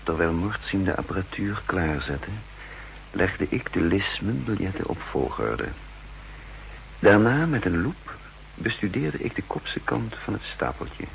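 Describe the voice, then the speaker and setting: An actor playing a brooding spy chief who narrates his own story gravely and deliberately in a 1960s radio play, with a gravelly voice. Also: lowish voice, fairly bright tone, heavily acted, poor audio. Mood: grave, suspenseful. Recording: 1960s radio recording, faint hum and noise.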